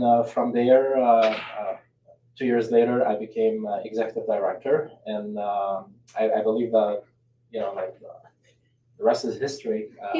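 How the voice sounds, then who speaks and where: A middle-aged man from Lebanon talks in a low, monotone, mellow voice. He hesitates often and fills his pauses. The recording is roomy and distant, with faint hiss. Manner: hesitant, many uh fillers. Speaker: nonprofit CEO guest. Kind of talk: podcast interview.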